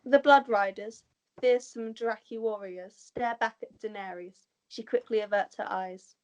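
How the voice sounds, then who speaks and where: A woman's voice: fairly high pitch, steady tone, normal speed, muffled recording. A woman narrating the stage directions of a script at an amateur table read.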